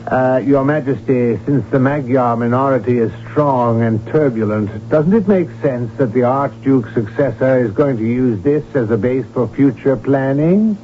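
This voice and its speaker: An actor as a scheming, deferential court prince. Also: male voice, medium pitch, moderate pace, few pauses, a little hiss or hum, natural voice. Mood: solemn, urgent. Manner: formal, deferential, pressing. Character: scheming, obsequious, insistent prince at imperial court. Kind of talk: 1970s radio drama acting. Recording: muffled, humming old radio broadcast recording.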